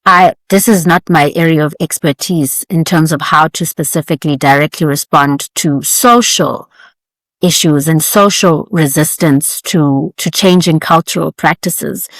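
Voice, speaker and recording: A woman lawyer answering interview questions in a measured, articulate, formal way. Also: low-pitched, dry recording. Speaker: guest lawyer.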